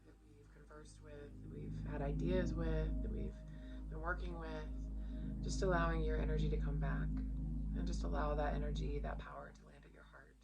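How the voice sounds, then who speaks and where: A woman teaching yoga leads a guided meditation in a soothing, gentle, unhurried way with pauses between phrases. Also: sits low, animated, vocal fry, rough recording. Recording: loud music under the voice. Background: music.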